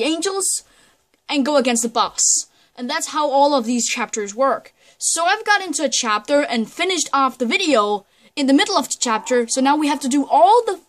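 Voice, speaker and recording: Rambling higher-voiced woman gamer. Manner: chatty, rambling, many fillers and restarts. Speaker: gaming channel host. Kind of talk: casual let's play commentary.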